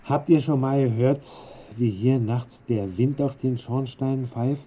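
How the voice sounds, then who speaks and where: An actor playing an old, restless, eccentric master bricklayer who grumbles and rambles in a radio drama. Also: male voice, medium pitch, measured pace, breathy edge. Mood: grumbling, restless. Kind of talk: 1960s German radio drama acting. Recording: muffled band-limited old radio recording.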